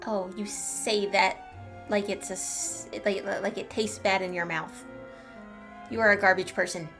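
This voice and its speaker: A woman's voice: mid-range voice, fairly even intonation, measured pace, bright, forceful tone, soft background music. A woman hosting a game playthrough with casual, playful, snarky commentary. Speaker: gaming channel host.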